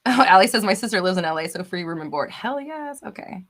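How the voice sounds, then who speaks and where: Host type: upbeat woman, animated pitch, bright and chatty, reads comments live. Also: fast talker. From United States. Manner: bubbly, laughs often, reads out viewer comments. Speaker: livestream host. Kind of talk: casual live chat reading comments.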